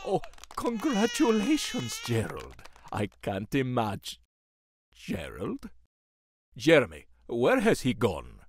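A man playing a courteous, easily alarmed gentleman host with theatrical old-fashioned manners in a horror radio play. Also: medium pitch, wildly swooping pitch, takes it slowly, husky, dry recording, exaggerated voice. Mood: puzzled.

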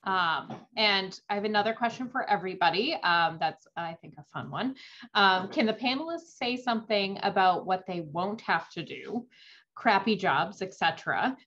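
A woman moderator reading audience questions on a video call, soft and hesitant with ums. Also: on the low side, lo-fi sound.

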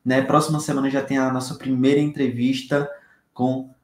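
A man's voice: mid-range voice, level tone, brisk. A young Brazilian man hosting a casual sports-betting tips video from a small home room, chatty and upbeat, talking straight to his viewers. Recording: echoey room sound.

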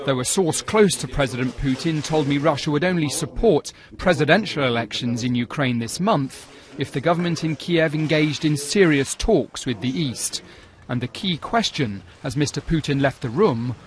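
A man reporting from abroad as a news correspondent, in a measured, formal delivery. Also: fairly high pitch.

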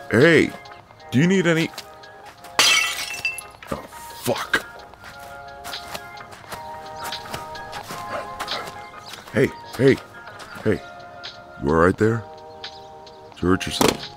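A man playing a friendly, gallant, gently teasing ex-marine neighbour in an intimate, easygoing one-sided roleplay. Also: deep voice, gravelly, full character voice. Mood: concerned. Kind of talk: intimate ASMR roleplay, one side of a conversation.

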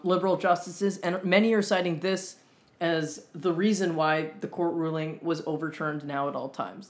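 A young Canadian man co-hosting a talk show, explaining in an earnest, measured way with deliberate pauses. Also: fairly high pitch. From Winnipeg, Canada. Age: young adult. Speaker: co-host. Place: room at home with whiteboard and fridge, indoors.

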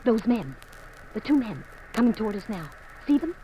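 A woman's voice: on the high side, big pitch swings, normal speed, dark tone, rough recording. Secretive exotic young lady, 50s radio drama, insistent, bit of old recording noise. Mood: urgent, pleading. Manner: pleading, insistent. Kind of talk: 1950s radio acting.

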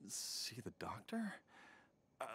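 A man voicing a confused, halting middle-aged villager who has lost his memory in a video game, stammering and bewildered. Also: mid-range voice, huge pitch swings, fairly bright tone, breathy edge, exaggerated voice. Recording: game audio with faint ambience.

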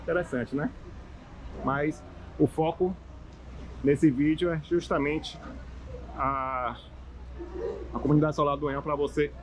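A young Bahian man gives a chatty, upbeat walking tour outdoors, pointing out sights as he goes. His voice sits a little high for a man. Faint street noise hums in the background. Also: fairly even intonation, unhurried, long pauses, poor audio. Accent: Bahian Brazilian Portuguese. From Salvador, Bahia, Brazil. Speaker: travel vlogger. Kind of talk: casual walking-tour vlog. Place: outdoors on a seaside hillside street.

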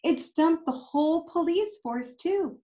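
A woman's voice: extremely high pitch, animated, a little slow, warm tone, highs cut off. A woman voicing an earnest five-year-old boy from a picture book, searching for his lost pet. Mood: worried, pleading. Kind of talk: expressive picture-book reading.

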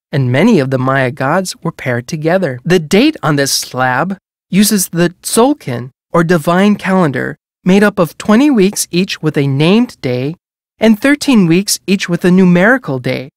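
An actor playing a composed museum exhibit narrator in a game, with measured, formal educational delivery. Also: mid-range voice, lively intonation, no echo, studio quality.

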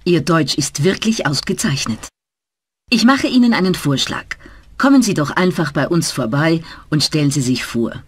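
A woman's voice: low voice, animated, moderate pace, breathy edge, no room sound. A woman voicing a polite, businesslike travel-agency clerk answering the phone in a scripted language-course dialogue.

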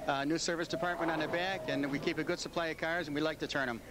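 A man's voice: on the high side, fairly even intonation, fast talker. A middle-aged American man giving an informal promotional interview as a car dealer. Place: indoor bowling alley. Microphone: interviewer's handheld microphone. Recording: noisy videotape, busy background ambience.

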